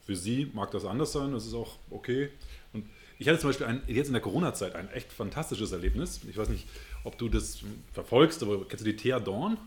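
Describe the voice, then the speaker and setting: A man's voice: medium pitch, expressive, a little fast. A man with a northern German accent, answering interview questions in an earnest, reflective way and searching for words as he goes. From Hamburg, northern Germany. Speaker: interview guest.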